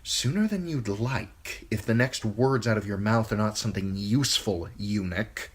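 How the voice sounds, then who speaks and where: A woman's voice: very deep voice, normal speed, slightly rough, pitched above the performer's own voice. Woman reading a cold, imperious queen. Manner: cold, clipped, threatening.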